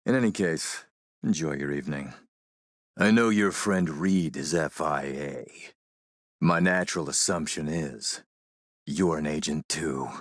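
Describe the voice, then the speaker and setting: Deep, slow, menacing warlord. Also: male voice, dry recording, studio quality. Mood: cool menace. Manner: measured, courteous, sardonic menace. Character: menacing, suave male warlord, coolly polite and mocking. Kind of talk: video game villain acting.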